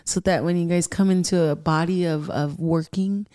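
Low-voiced woman, chatty and effusive. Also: fairly even intonation, normal speed. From Southern California. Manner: warm, effusive, rambling with many fillers. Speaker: podcast guest, musician. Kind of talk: casual podcast chat. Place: podcast studio.

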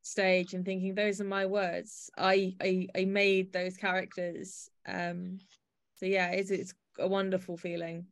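A woman hosting an interview podcast, speaking in a measured, articulate, explanatory way. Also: medium pitch, level tone. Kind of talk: interview chat and scripted introduction.

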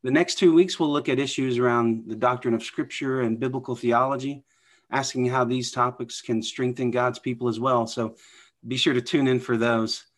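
Male podcast host, middle pitch, upbeat, encouraging, asks questions. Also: fairly even intonation, moderate pace. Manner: upbeat, affirming interjections. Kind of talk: hosting an interview.

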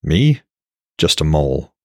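A man's voice: extremely low pitch, very animated, slow, dark tone, dry recording, studio quality, full character voice. A man voicing a devoted, troubled warrior in a fantasy audiobook, speaking in a subdued, earnest way. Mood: offhand. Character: devoted blind warrior god, long-lived, troubled lover. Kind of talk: fantasy audiobook character dialogue.

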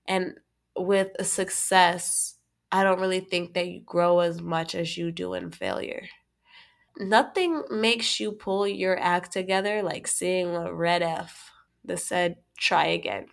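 Woman podcast co-host, stuffy with a cold, animated casual delivery. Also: fairly low pitch, slow, vocal fry. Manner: animated, laughs often, restarts phrases. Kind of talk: casual chat.

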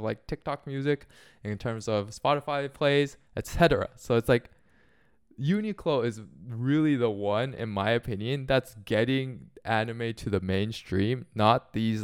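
A man co-hosting a casual podcast, talking in a rambling, animated way with lots of "like" and "um". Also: medium pitch, clean tone, dry recording, clean studio sound. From Japan. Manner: animated, rambling, many fillers like "like" and "um". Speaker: podcast co-host.

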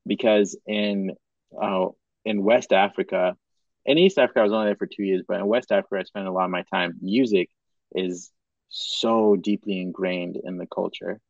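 A young man from Madagascar hosting a podcast, talking in a relaxed, casual way and restarting his sentences as he thinks. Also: deep voice, moderate pace, gravelly, no room sound, muffled recording. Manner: relaxed, says bro and dope a lot, thinks aloud with restarts. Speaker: podcast host.

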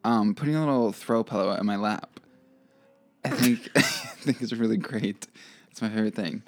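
A man's voice: lowish voice, normal speed, dry recording. A young man co-hosting a casual podcast chat, teasing in dry banter. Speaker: podcast co-host.

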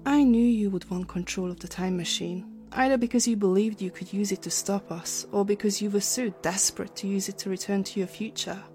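A woman playing a smug, calculating villain in a sci-fi audio drama, deliberate and condescending. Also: mid-range voice, fairly even intonation, warm tone, soft background music, close to an everyday voice. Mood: cold, menacing. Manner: deliberate, condescending, coolly menacing. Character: smug, calculating female villain of a time-travel agency.

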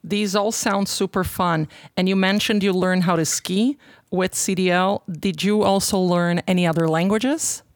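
Creaky-voiced woman interviewer, close dry sound. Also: fairly low pitch, fairly even intonation, moderate pace, no echo. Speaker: interviewer, podcast host. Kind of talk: conversational interviewing.

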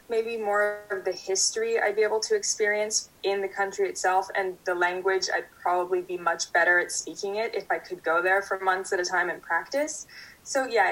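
Young adult woman, interview guest, calm, measured answers, mid-pitched. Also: poor audio. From Canada. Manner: thoughtful, steady, speaks in full sentences.

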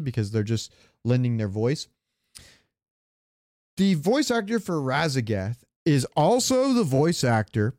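A man's voice: on the high side, darkish tone, dry recording, clean studio sound. A man co-hosting a podcast in casual comedic chat, rambling through jokey, sweary stories. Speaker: podcast co-host.

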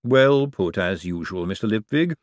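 A man voicing a cool, calculating older ruler who speaks dry, precise and deliberate in an audiobook. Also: fairly low pitch, animated, no room sound, lightly acted. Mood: dry, knowing.

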